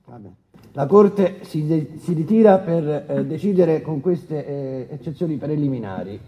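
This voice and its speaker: Stern male judge, radio courtroom drama, officious, fairly high voice, slightly dull sound. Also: expressive, a little hiss or hum. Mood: hesitant, official. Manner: formal and officious, with halting hesitations. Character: presiding judge, stern, formal, testy and bureaucratic.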